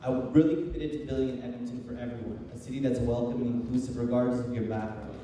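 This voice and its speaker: Male council candidate, quick and wordy, earnest, very mellow tone, recorded at a distance in a reverberant hall. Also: mid-range voice, little pitch movement, a little hiss or hum. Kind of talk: campaign closing speech at a public debate. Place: large hall, public debate. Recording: very echoey hall, distant microphone.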